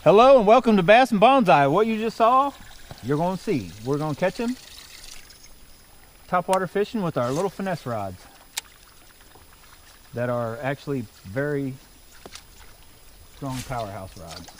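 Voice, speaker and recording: Man, 40s, fishing channel host on a boat. Very lively pitch, excitable, outdoor camera audio. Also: moderate pace, long pauses, slight background noise. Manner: excitable, loud bursts when fish hit. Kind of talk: casual fishing commentary. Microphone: action camera on the boat.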